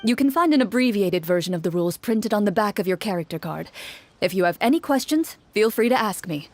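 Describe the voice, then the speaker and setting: A woman voicing a composed young game master in a video game, measured and formal as she explains rules. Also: mid-range voice, expressive, darkish tone, no room sound, close to an everyday voice. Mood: calm, courteous. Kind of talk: game voice acting.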